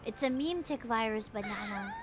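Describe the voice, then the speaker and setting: A woman's voice: high-pitched, normal speed, heavy background noise. A woman livestreaming a video game, reading dialogue aloud and reacting casually and expressively. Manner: expressive, reacts with exclamations and laughter.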